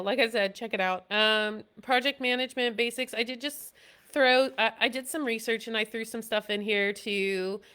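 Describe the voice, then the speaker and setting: Nasal, bright-voiced woman chatting casually. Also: mid-range voice, clear, resonant tone. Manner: loose and chatty, many "you know" and "um" fillers. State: nasal from allergies. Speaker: military service member presenting school tips. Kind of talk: impromptu live video talk.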